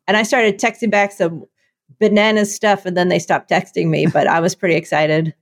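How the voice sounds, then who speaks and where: A woman co-hosting a podcast in casual chat, playful and quick to laugh. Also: fairly low pitch, fairly even intonation, dry recording, clean studio sound. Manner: playful, laughs often, jokey asides. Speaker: podcast co-host.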